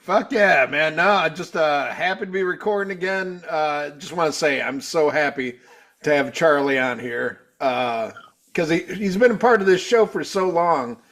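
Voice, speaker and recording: A fifty-one-year-old man hosting a relaxed podcast, talking bluntly and cursing freely. His voice runs high for a man. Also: normal speed. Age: 50s. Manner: blunt, profane, laid-back. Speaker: podcast host. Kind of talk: casual chat.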